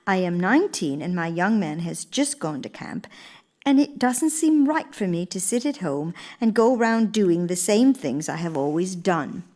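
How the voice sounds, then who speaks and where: A woman's voice: lowish voice, expressive, normal speed, a little mellow, natural voice. An actress playing an earnest, gracious elder First Lady in a radio play, speaking formally and with theatrical emphasis. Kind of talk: radio theater monologue and dialogue.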